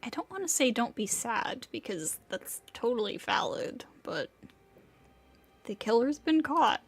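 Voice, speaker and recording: Woman game streamer: casual reactions, unhurried pace, hugely varied pitch, husky edge. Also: big pitch swings, soft background music.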